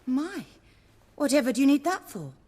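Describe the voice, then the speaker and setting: An actress playing a genteel, gracious woman of the theatre in a video game, expressive and courtly, with a husky voice and long reverb. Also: high voice, normal speed. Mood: gracious, playful.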